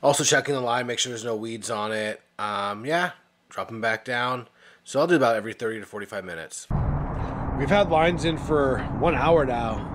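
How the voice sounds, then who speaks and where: A man's voice: mid-range voice, lively intonation, moderate pace, a bit bright. A man in his thirties casually narrating a fishing vlog from a boat on a river, matter-of-fact and laid-back. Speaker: fishing vlogger. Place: outdoors on a small motorboat on a river. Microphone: action camera at arm's length or mounted on the boat. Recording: noisy outdoor action-camera audio.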